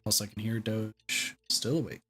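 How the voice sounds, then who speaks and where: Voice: mid-range voice, steady tone, normal speed, a bit bright. A game streamer commenting casually and informally, often repeating their own phrases.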